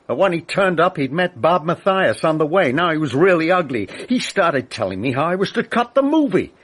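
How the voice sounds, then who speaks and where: An actor playing a vain, volatile film director cornered as a killer, ranting and menacing in a radio drama. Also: male voice, fairly high pitch, big pitch swings, a little fast, fairly bright tone. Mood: bitter, confessing. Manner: ranting, swinging from menace to outburst.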